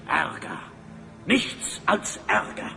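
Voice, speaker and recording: Pompous evil sorcerer with wildly swooping voice. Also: male voice, high-pitched, animated, extremely slow, creaky, pushed and bright, heavily acted. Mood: scornful, annoyed. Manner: theatrical, grandiose, brooding villain monologue. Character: pompous evil sorcerer, self-styled Master of Time. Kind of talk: German audio drama acting. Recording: long reverb, music underneath.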